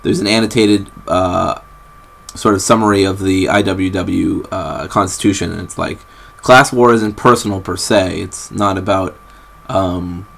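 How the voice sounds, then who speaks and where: Man, young adult, from New England, low pitch, gravelly fry, hesitant vlog talk. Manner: hesitant, many ums and restarts. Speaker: vlogger. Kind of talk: casual rambling update to camera.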